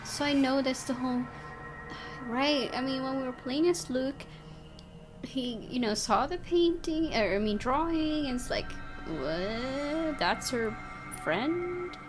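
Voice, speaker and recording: A woman's voice: high-pitched, expressive, takes it slowly. A young woman giving let's-play commentary on a visual novel, reacting emotionally and talking to herself as she plays. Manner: emotional, reacts loudly and talks to herself while playing. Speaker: gaming YouTuber. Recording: music playing from the game behind.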